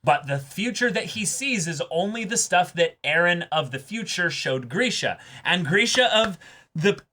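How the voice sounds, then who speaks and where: Bright-voiced man, emphatic and analytical. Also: high-pitched, expressive, moderate pace. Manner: emphatic, insistent, repeats points for stress. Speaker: host of an anime reaction and analysis show. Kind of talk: casual analytical discussion.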